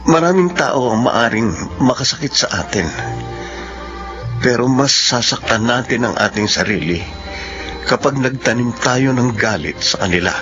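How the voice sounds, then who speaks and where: A man's voice: medium pitch, expressive, moderate pace, natural voice. A man playing a reflective, forgiving son who narrates his life in a Filipino radio drama, in dull sound over music. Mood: reflective, earnest. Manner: reflective, earnest.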